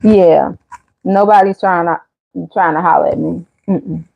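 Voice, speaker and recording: A woman's voice: lowish voice, unhurried, vocal fry, muffled recording. A woman, casually chatting on her channel's live stream and answering viewers' comments. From Chicago area. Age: 50s. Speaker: channel host.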